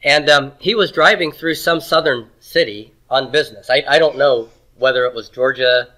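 A man's voice: mid-range voice, normal speed, sharp tone, rough recording. A man teaching a Hebrew grammar class in a classroom, explaining patiently in a conversational way. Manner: explanatory, conversational, occasional throat clearing. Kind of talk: classroom lecture. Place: classroom in Texas.

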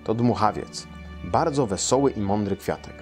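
A man voicing a patient, caring mother bear in a children's storybook, calmly explaining things to her cub. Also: lowish voice, moderate pace, music in the background, close to an everyday voice. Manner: calm, instructive.

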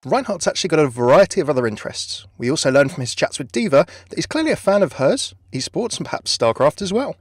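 A man's voice: fairly high pitch, very animated, fast, few pauses, dry recording. A man hosting a video with scripted narration, recorded as a close voice-over. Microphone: close dry voice-over microphone.

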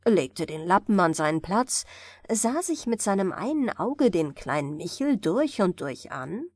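A woman's voice: lowish voice, big pitch swings, a little slow, dry recording, clean studio sound. A woman storyteller narrating a scripted bedtime fairy tale for an audiobook.

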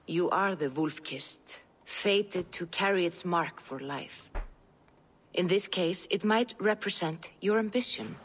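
An actress playing a calm, grave seer in a video game, speaking slowly and prophetically. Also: female voice, fairly low pitch, slightly rough. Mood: ominous. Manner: slow, solemn, prophetic.

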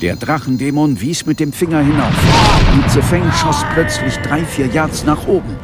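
An actor playing a cool, tense police inspector who narrates a demon fight in a dramatic radio play. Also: male voice, on the high side, expressive, normal speed, natural voice. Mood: urgent, excited. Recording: music bed under the voice. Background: dramatic music.

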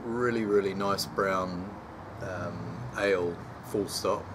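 A man in his forties with a somewhat low voice, talking easily into a nearby camera mic on an outdoor patio. His manner is relaxed and chatty, with lots of "um" pauses. Clear background noise and outdoor ambience sit under the voice. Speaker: homebrew channel host. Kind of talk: casual beer review vlog. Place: sunny backyard patio, outdoors. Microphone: camera mic at close range, later handheld while walking.

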